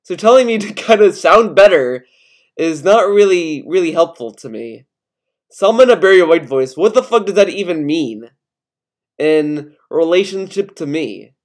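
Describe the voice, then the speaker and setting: A man's voice: on the high side, expressive, unhurried. A man with an American accent from Connecticut, ranting casually as a YouTuber in a profane, rambling, defensive way. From Connecticut, USA. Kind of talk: casual ranting vlog commentary.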